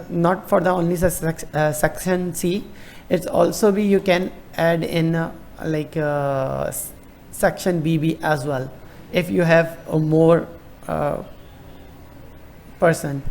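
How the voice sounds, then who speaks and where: Man, tutorial presenter, unhurried delivery, gravelly fry, halting and hesitant. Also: a little high, darkish tone. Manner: hesitant, halting, many fillers and restarts. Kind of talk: screen-recorded form walkthrough.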